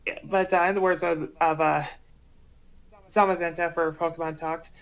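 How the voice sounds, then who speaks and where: A man chatting casually as a guest, hesitant and halting, often repeating his words. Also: a little high, little pitch movement, vocal fry, fairly bright tone, low-quality recording. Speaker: guest, amateur voice actor. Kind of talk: casual chat.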